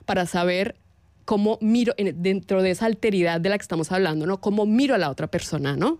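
A woman with a Colombian accent, speaking as a guest in a radio interview and talking thoughtfully, with pauses and fillers. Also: mid-range voice, darkish tone, no room sound, clean studio sound. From Latin America.

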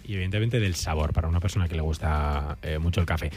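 A man's voice: a bass voice, darkish tone. A man hosting a radio show in Spanish, bantering and joking with guests in a casual chat. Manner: jokey, quick banter, laughs often. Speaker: radio host.

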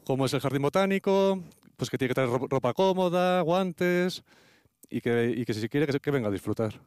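A man's voice: mid-range voice, lively intonation, moderate pace, a little mellow, clean tone, no room sound, clean studio sound. A man who works as an educator at a botanical garden, explaining things in a plain, practical way with small restarts. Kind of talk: guest in conversation.

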